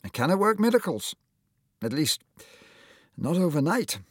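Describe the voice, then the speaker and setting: A man's voice: fairly high pitch, big pitch swings, a little slow, breathy edge, dry recording, studio quality, voice pushed up, full character voice. A man voicing a kindly, encouraging older male surgeon.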